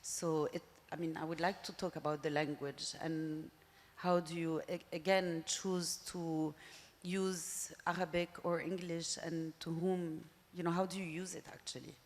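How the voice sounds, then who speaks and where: A woman's voice: low voice, flat delivery, measured pace. A woman moderating a panel, asking long, searching questions with hesitations. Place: museum panel stage. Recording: long hall reverb.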